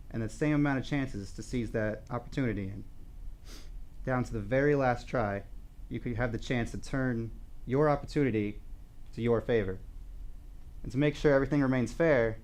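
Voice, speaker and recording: A man's voice: medium pitch, darkish tone, lightly acted. A man performing a dramatic monologue as an earnest, philosophical sports devotee, reflective and rhetorical.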